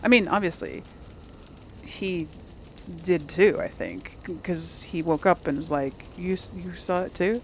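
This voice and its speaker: A woman's voice: lowish voice, animated, unhurried, a little hiss or hum. A woman doing casual let's play commentary, thinking aloud and talking to the game's characters. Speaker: gaming video host.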